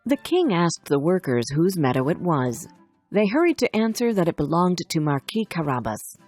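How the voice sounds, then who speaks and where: A woman narrates a children's tale from a script. Her voice is somewhat low and husky, with highly varied intonation. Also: very animated, normal speed, a little mellow. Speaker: storyteller. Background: faint music.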